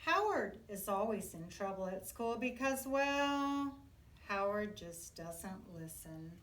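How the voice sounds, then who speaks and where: Echoey, slow, animated woman reading a storybook. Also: mid-range voice. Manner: expressive, deliberate storytelling for young children. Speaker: children's book reader. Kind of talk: read-aloud picture book narration. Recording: echoey room, distant from mic.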